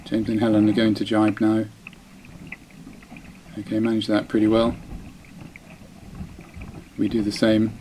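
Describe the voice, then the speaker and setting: A man's voice: on the low side, completely flat delivery, measured pace, long pauses, dark tone, a little hiss or hum, rough recording. A man narrating his own dinghy race, chatty and self-deprecating, often breaking off mid-phrase. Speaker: dinghy racing sailor. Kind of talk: voice-over commentary on race footage.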